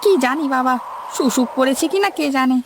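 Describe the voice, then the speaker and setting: A woman playing a young, anxious village fisher hand in a cartoon, with plaintive, folksy rural speech and a creaky voice. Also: high-pitched, a little fast, a little hiss or hum, lightly acted. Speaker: cartoon voice actor. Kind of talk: dramatised Bengali cartoon story dialogue.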